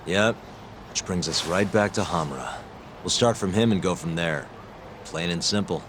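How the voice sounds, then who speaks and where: An actor playing a terse, determined investigator in a video game, talking in a casual, matter-of-fact way. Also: male voice, deep voice, moderate pace, noisy background, lightly acted. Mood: businesslike. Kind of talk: video game cutscene acting.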